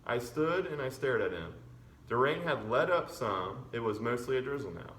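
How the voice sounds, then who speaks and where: A man's voice: mid-range voice, animated, normal speed, a bit bright. Male teacher reading aloud in a roomy space. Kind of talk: expressive children's chapter book read-aloud. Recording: roomy echo with background noise.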